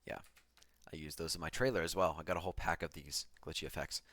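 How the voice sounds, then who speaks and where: A man's voice: very deep voice, steady tone, fast talker, very noisy. A man giving an offhand, self-deprecating commentary as he breaks down an electronic track he produced. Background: music from the track being played.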